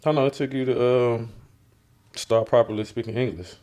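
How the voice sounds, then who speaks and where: A man with an Atlanta accent hosting a casual podcast interview, laid-back and quick to back up his guest with "yeah" and "mm-hmm". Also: medium pitch, darkish tone. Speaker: podcast host.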